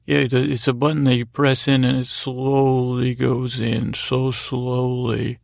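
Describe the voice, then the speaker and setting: Male sleep-podcast host: flat, creaky delivery, meandering and halting bedtime monologue. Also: mid-range voice, no echo, studio quality. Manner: meandering, halting, easily distracted. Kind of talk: rambling bedtime monologue to help listeners fall asleep.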